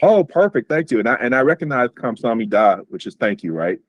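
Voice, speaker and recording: A man's voice: medium pitch, sing-song, racing, no echo. A man hosting an interview over a video call, speaking casually with many fillers and repeated words. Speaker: host.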